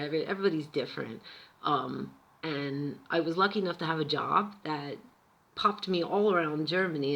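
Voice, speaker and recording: An American woman from Pennsylvania, talking to camera in a chatty, emphatic vlog about her experiences abroad. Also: fairly low pitch. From Pennsylvania, USA. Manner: chatty and emphatic, with lively asides. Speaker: vlogger. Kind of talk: casual storytelling monologue.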